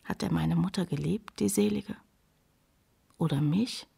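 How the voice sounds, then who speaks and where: An actress playing an exasperated, bitter grown daughter of an old scholar, complaining pointedly in a radio play. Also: female voice, lowish voice, measured pace, creaky, mellow, slightly rough, exaggerated voice. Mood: bitter, brooding. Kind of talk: German radio play acting.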